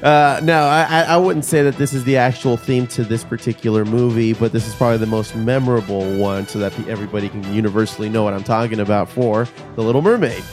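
A man's voice: mid-range voice, fairly even intonation, quick pace, few pauses, a little mellow. Casual male podcast host over music. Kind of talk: casual banter and chat.

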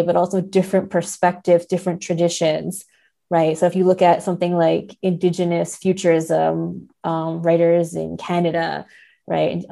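Woman, low creaky voice, thoughtful scholarly podcast guest, conversational delivery. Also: warm tone. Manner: thoughtful, frequent hedging and tag questions. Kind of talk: conversational literary discussion.

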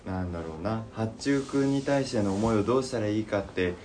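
A man's voice: fairly low pitch, fast, darkish tone, slight background noise. A man in a casual creative chat, thinking aloud and acting out imagined lines as he goes. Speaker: podcast member discussing a voice drama plan.

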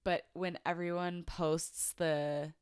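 Stuffy-nosed young woman, smooth low voice. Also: little pitch movement, slow pace, no echo, clean studio sound. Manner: chatty, opinionated, laughs often. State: congested, stuffy nose. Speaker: podcast co-host. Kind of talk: casual chat.

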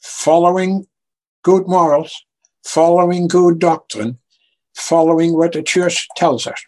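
A man's voice: fairly high pitch, steady tone, slow, dark tone, poor audio. A man with a Dutch accent answering as a guest author in an interview, earnest and given to repeating his first words.

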